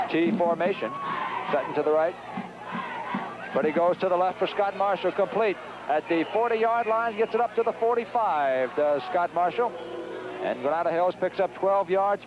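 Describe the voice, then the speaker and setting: An American man calls a football game live on the radio in a high-pitched voice. His phrases are short, clipped and urgent as he follows each play. The sound is a muffled 1970s broadcast with clear background noise. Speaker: play-by-play sports commentator. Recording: dull, noisy 1970s radio broadcast recording.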